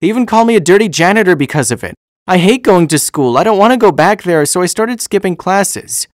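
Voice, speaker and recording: A man plays a small schoolboy in a storybook tale, his voice lifted higher. He is shy and wounded, speaking in a timid, earnest, pleading way. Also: lively intonation, fairly quick, no echo, clean studio sound. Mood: hurt, upset. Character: shy, hurt six-year-old schoolboy. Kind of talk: storybook character voice.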